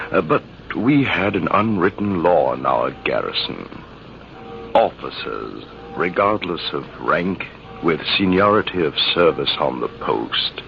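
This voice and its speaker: A man playing a reflective army major in an old radio drama, telling his story in a measured, theatrical way with a gravelly voice. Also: very deep voice, heavily acted. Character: older army major recalling a past rival, reflective, measured. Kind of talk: 1940s radio drama acting. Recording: noisy, muffled vintage radio recording.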